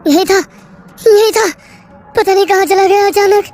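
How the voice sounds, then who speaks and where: A woman voicing a frightened young male railway worker who pleads breathlessly in a high voice. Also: racing, darkish tone. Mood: desperate.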